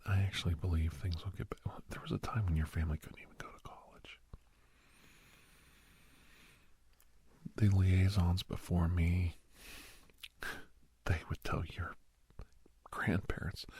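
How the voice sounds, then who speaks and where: A man playing a calm, earnest doctor counseling a young werewolf in an ASMR role-play, speaking in a hushed, breathy, often whispered voice with a slow, reassuring manner. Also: low voice, heavily acted. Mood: hopeful, gentle.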